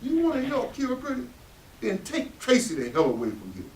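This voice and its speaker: High-voiced, combative bitter dad played by a man, hard-drinking, animated, echoey stage reading. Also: moderate pace, a little hiss or hum, full character voice. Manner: combative, barking, self-pitying. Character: bitter, hard-drinking working father, angry and resentful. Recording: roomy, echoey, distant mic.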